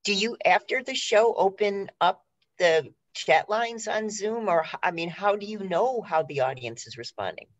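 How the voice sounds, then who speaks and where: A woman hosting a panel interview, putting questions in a halting, conversational way over lo-fi audio. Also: mid-range voice, animated, no room sound. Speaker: host. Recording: dry, dull lo-fi audio.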